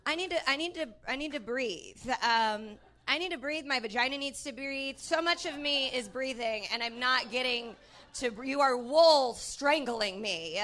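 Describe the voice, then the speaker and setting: A woman's voice: sits high, normal speed, fairly bright tone. A woman bantering on a comedy panel in a blunt, irreverent way. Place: live stage show.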